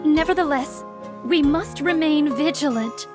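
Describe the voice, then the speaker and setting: High-voiced, stern archaic swordswoman. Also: unhurried, over music. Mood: wary, serious. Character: stern, vigilant young swordswoman with archaic knightly speech. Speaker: video game voice actor. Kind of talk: voiced game story dialogue.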